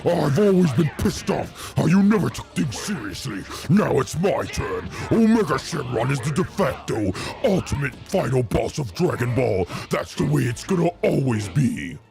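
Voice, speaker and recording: A vengeful dragon final boss from a video game, acted out by a man, theatrical and taunting. The voice is gravelly and swings widely in pitch over faint game music. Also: big pitch swings, normal speed, hardly any pauses, a little mellow. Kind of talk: improvised video game villain role-play.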